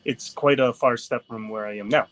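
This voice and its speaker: A man's voice: medium pitch, steady tone, moderate pace, a bit bright. A man who grew up near Toronto, speaking as a podcast guest in a hesitant, thoughtful way, with many fillers. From Toronto area, Canada. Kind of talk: casual conversation.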